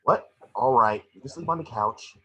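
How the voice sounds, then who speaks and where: A man playing a small, cocky, wisecracking mouse detective in a table read, with a rough-edged husky voice. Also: medium pitch, a bit bright. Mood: wry, cocky. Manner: fast-talking wisecracking patter. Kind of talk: animated script table read.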